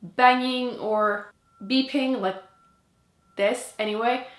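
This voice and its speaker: A woman's voice: on the high side, fairly even intonation, slow, a bit bright, reverberant. A French Canadian woman, a native French speaker, chatting casually and emphatically to camera as a book reviewer.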